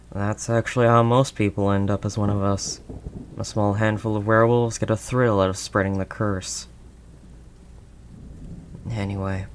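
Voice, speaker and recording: A man playing a protective older male werewolf loner, gentle and conversational, in an intimate audio roleplay. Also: lowish voice, a little hiss or hum, close to an everyday voice. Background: rain ambience sound effects.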